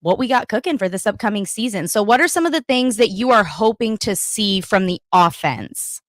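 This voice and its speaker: A woman hosting a casual sports talk show, upbeat and excitable. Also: mid-range voice, no echo, studio quality. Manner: upbeat, hyped, quick to laugh. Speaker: podcast co-host.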